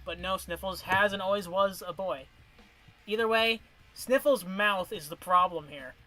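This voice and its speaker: A man's voice: high voice, normal speed, bright, forceful tone, faint music behind, low-quality recording. A man chatting casually on a stream, ranking cartoon characters and rambling through tangents with restarts, his voice wearing from long streaming. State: voice getting worn from streaming.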